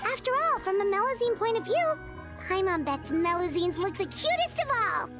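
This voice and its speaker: A woman voicing a small, cute, childlike fairy companion in an anime video game, chirpy and excitable. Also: very high-pitched, moderate pace, over loud music. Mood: eager. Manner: refers to self in third person, chirpy.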